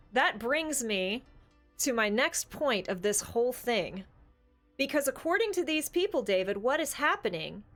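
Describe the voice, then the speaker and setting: A woman's voice: fairly high pitch, fairly bright tone. A woman co-hosting a tabletop gaming discussion show, casual and opinionated, with an emphatic, sarcastic, theatrical way of talking.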